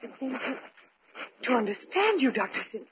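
A woman's voice: high-pitched, sing-song, normal speed. Anxious, pleading woman, tinny old radio. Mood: fearful. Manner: worried, faltering. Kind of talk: old-time radio horror acting. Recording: tinny, noisy vintage radio recording.

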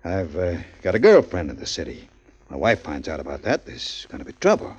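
A man's voice: sits low, huge pitch swings, a little fast, breathy edge, exaggerated voice. An actor playing a nervous, shifty pool hall owner in his forties who stammers through anxious questions, in 1940s radio acting. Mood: wheedling. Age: 40s. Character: big fortyish pool hall owner, nervous, then menacing. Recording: dull lo-fi 1940s radio recording.

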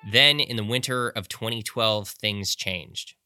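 Measured public radio journalist over music. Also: male voice, fairly low pitch, pushed and bright. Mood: measured, narrating. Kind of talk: public radio documentary narration and interview. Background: instrumental music.

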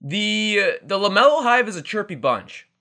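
Bright, lively young man co-hosting. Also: high-pitched, normal speed. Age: 20s. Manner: chatty, rambling, laughs at himself. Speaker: podcast co-host. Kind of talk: casual sports talk.

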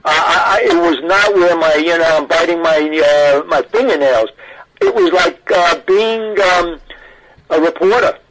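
A man's voice: fairly high pitch, unhurried, noisy background. An older man recalls things in a radio interview, talkative and emphatic, often interrupting himself. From Brooklyn, New York. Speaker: interview guest, audio archivist. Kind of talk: animated personal recollection in a radio interview.

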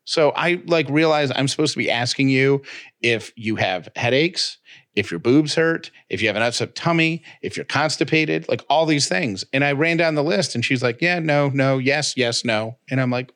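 A man co-hosting a podcast with his wife, talking in an animated, rambling, self-mocking way. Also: mid-range voice, moderate pace. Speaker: podcast co-host. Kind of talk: casual chat.

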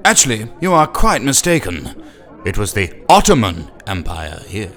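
A man's voice: fairly low pitch, huge pitch swings, normal speed, a bit bright, heavily acted. A man voicing a pompous, haughty actor and traveller. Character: pompous posh male actor, lecturing.

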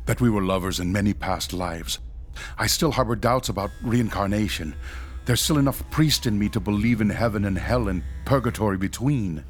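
An actor plays a pious, formal ex-priest in an audio horror drama. His voice is fairly low and husky, earnest and troubled by guilt. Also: normal speed, faint music behind, natural voice. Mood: guilty, earnest. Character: pious guilty ex-priest ghost hunter, doctor, husband.

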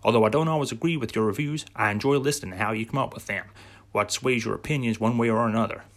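A man's voice: medium pitch, quick pace, lightly acted. A man putting on an American accent, close to Texan, to voice an enthusiastic adult male listener reading out folksy fan mail. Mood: cheery. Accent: put-on American, closer to Texas.

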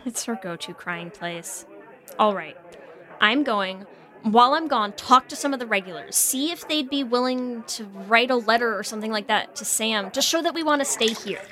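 Bright-voiced, worried best friend. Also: female voice, fairly high pitch, animated, normal speed. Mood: earnest, resolved. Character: worried, caring, earnest woman best friend. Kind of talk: naturalistic audio drama acting.

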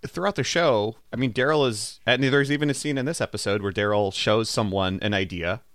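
A man's voice: medium pitch, a little fast, a bit bright, no room sound, clean studio sound. A man co-hosting a podcast, chatting casually and informally about a TV show, often saying "like". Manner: informal, peppered with "like". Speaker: podcast co-host.

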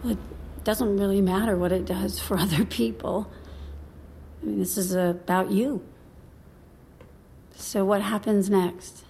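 A woman's voice: mid-range voice, fairly even intonation, a little slow, creaky, long pauses, dark tone, slight background noise. An actress playing a guarded, weary woman in understated, naturalistic audio drama dialogue. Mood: calm, probing. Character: guarded, weary woman keeping others at arm's length. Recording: faint background ambience.

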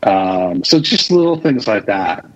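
A man giving casual interview answers as a podcast guest, thinking aloud in halting fragments. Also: fairly low pitch, big pitch swings, a bit bright. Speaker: podcast guest, radio drama creator and producer. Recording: dull lo-fi audio.

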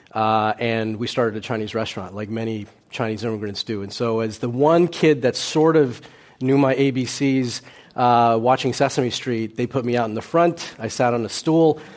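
A man from the United States speaks as a panel guest and recounts his past. He talks in a halting, conversational way, with many fillers and restarts. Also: medium pitch. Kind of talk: conversational storytelling.

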